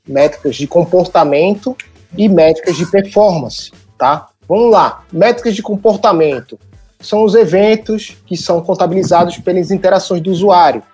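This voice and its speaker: A man's voice: sits high, normal speed, a little mellow, slightly rough, soft background music. A man with a Northeastern Brazilian accent hosting a podcast, upbeat, explaining step by step and prompting his guests. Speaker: podcast host. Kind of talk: casual podcast chat.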